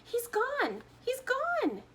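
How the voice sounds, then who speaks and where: A woman's voice: very high-pitched, expressive, brisk, fairly bright tone, pitched above the performer's own voice. A woman voicing a frantic, panicky mother who screams and wails in a storybook reading. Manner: screaming, wailing.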